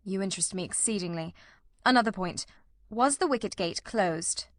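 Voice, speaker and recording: A woman's voice: medium pitch, normal speed, no room sound, close to an everyday voice. A woman voicing a keen, probing male detective in an audiobook, with a formal, incisive and inquisitive manner. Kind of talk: audiobook character reading.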